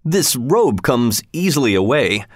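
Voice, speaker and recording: A man reading a storybook, voicing a sly male outlaw in a slow, amused way. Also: medium pitch, very animated, no echo, clean studio sound.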